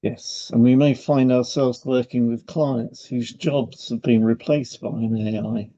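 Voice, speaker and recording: A man's voice: mid-range voice, steady tone, unhurried, dark tone, dull audio. A man co-hosting a podcast, explaining things in a semi-scripted discussion. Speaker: podcast co-host and hypnotherapist.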